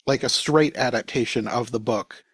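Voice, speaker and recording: A man's voice: medium pitch, moderate pace, fairly bright tone, breathy edge, no room sound. A man talking casually as a panelist in a podcast discussion.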